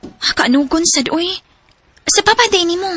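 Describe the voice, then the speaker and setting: A woman's voice: extremely high pitch, lively intonation, moderate pace, sharp tone, exaggerated voice. A young woman playing a caring college student in a radio drama, chatting with sympathy. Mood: chatty. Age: young adult.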